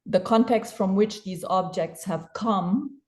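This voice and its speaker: A woman's voice: mid-range voice, takes it slowly, darkish tone. A woman from Germany speaking as a panel guest, thoughtful and hesitant, with many restarts. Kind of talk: panel discussion.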